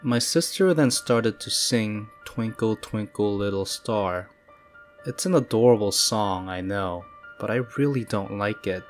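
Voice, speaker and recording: A man giving calm, measured, scripted narration of creepy first-person stories. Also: on the low side. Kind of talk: scripted horror story narration. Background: faint eerie music.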